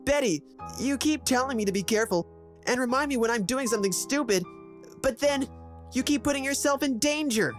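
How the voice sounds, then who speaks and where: Woman as a frank, lightly high-voiced crewmate. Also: expressive, brisk, soft background music. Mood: scolding. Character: frank, protective female friend aboard a warship. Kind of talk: audio drama acting.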